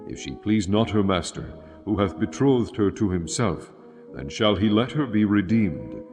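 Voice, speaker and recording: A man narrating scripture as a solemn, scripted dramatic reading in measured phrases. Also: fairly low pitch, lively intonation. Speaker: audio Bible narrator. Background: music.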